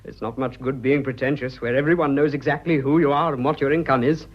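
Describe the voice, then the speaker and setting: An actor playing an earnest, boyish young colonial planter with a British accent in a radio drama. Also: male voice, mid-range voice, steady tone, quick pace, muffled recording, lightly acted. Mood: breezy, cheerful.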